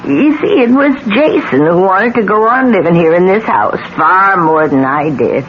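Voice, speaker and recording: A woman acting in a 1970s radio mystery, playing a weary, bitter older mother who speaks haltingly and theatrically. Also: mid-range voice, expressive, natural voice. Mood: resigned. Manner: halting, drawn-out, theatrical delivery. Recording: dull, faintly noisy 1970s radio recording.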